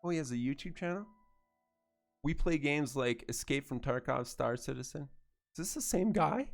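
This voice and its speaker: A man chats casually through a gameplay livestream. His stuffed-up head cold leaves him nasal, with a gravelly rasp in his tone. He sounds easygoing and friendly, and faint music plays behind him. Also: a little high, lively intonation, moderate pace. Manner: easygoing, chatty, thanks viewers warmly. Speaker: streamer.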